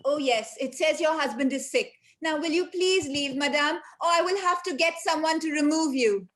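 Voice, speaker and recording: A high-voiced prim office assistant in a stage farce. The delivery is formal and dutiful, deferential to the boss and firm with visitors. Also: female voice, moderate pace, a bit bright. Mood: firm, exasperated. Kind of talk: comic stage-play acting.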